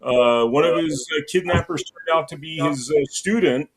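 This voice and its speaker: A man's voice: a little high, moderate pace, husky, low-quality recording. A man from Los Angeles hosting a podcast interview in a casual chatty way, often saying "man" and "like". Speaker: podcast host.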